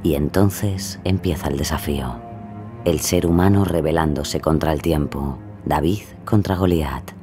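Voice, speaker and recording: Very deep, sardonic male narrator. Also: unhurried. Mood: solemn. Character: sardonic, knowing male narrator, companion voice needling the hero. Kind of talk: dramatised audio fiction narration. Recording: music behind the voice.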